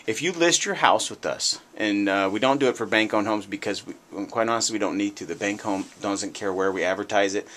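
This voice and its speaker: A man's voice: fairly low pitch, a little fast, few pauses, a bit bright. An American man hosting a radio show, talking off the cuff in a plain-spoken, opinionated, folksy way about his trade like a sales agent. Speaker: radio show host, real estate agent. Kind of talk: unscripted radio talk, anecdotes and sales pitch. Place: indoors, radio show setting. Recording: noisy, distant-sounding radio show capture.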